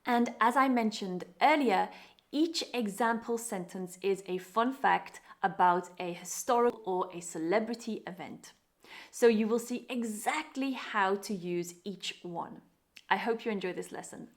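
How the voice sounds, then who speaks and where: A British woman with a British English accent, presenting a scripted online English lesson in a clear, upbeat teaching manner. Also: medium pitch, measured pace. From Britain.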